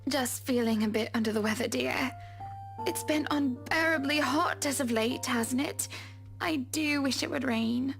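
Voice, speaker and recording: A man voicing a genteel, affectionate wife in a visual novel, with a soft, endearing manner. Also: very high-pitched, a little slow, vocal fry, music in the background, pitched above the performer's own voice, exaggerated voice. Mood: downplaying.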